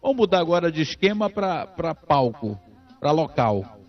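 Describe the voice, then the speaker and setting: A man hosts a sports call-in show with teasing energy. His fairly high voice leaps around in pitch as he repeats his phrases, and faint music plays behind him. The sound is slightly dull and lo-fi. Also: very animated, moderate pace. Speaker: radio sports show host. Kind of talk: lively call-in radio hosting. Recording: muffled lo-fi radio sound.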